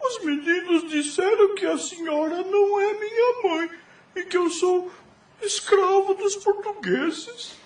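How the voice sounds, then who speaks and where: A woman's voice: very high voice, a little slow. A woman playing a hurt, stubborn seven-year-old boy in an audio drama, speaking in a plaintive, childlike way. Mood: tearful, upset. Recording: roomy echo, distant from mic.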